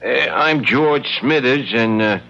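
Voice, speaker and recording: An actor playing a wary, hesitant young working-class husband in an old radio drama, speaking haltingly. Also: male voice, mid-range voice, lively intonation, creaky, pushed and bright, exaggerated voice. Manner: slow, halting. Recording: muffled, noisy vintage radio broadcast.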